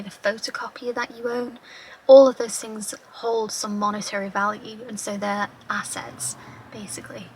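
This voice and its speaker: A woman tutor with a slightly croaky voice, patiently explaining accounting terms with everyday examples. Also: medium pitch, a bit bright, a little hiss or hum, rough recording. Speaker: finance tutor.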